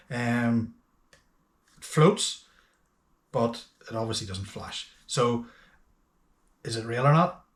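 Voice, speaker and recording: A man talking casually and a little hesitantly through a hands-on product review, thinking aloud as he goes. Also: medium pitch. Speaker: host of a boating gadget channel.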